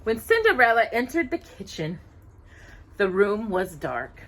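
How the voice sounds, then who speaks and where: A woman reading a children's storybook aloud in an expressive, animated storyteller's manner. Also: medium pitch, unhurried, rough recording. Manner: animated, asks listeners questions.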